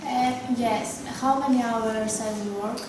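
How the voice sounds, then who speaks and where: A woman's voice: fairly high pitch, steady tone, unhurried, lightly acted. A teenage girl with a Greek accent playing a polite, eager applicant for a secretary job in a school role-play. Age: teenage student. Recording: very echoey, distant, noisy room.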